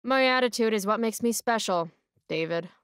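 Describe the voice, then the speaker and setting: A woman voices a rebellious American teenage girl for a video game. She is sarcastic and defiant, with a fairly high voice recorded dry and close. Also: normal speed, fairly bright tone, smooth, dry recording, close to an everyday voice. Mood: dismissive sarcasm. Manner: sardonic, defiant, foul-mouthed.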